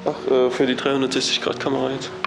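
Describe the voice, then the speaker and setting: A man explores an abandoned building and talks casually about what is around him. His voice has an ordinary medium pitch, and clear music plays underneath. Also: monotone, fast talker, poor audio. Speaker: urban explorer and presenter. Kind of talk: casual on-location commentary while exploring. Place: inside a derelict building.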